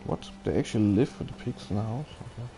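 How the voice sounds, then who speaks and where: A man with a fairly low, mellow voice livestreams a video game. He rambles casually to viewers, hesitating and thinking aloud. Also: fast, vocal fry.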